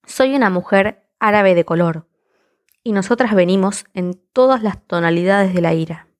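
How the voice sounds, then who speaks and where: A woman's voice: mid-range voice, moderate pace. A woman reciting spoken word poetry in a defiant, impassioned, rhythmic delivery.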